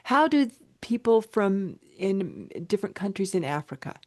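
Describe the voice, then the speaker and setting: A woman's voice: mid-range voice, big pitch swings, normal speed, darkish tone. A woman asking a question on a video call, searching for words and restarting phrases. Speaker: audience member asking a question.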